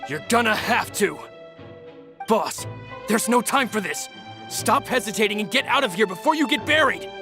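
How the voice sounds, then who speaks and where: A man's voice: high voice, expressive, moderate pace, music in the background. An actor playing a loyal, protective henchman in a video game, urgent and emphatic. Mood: indignant, urgent.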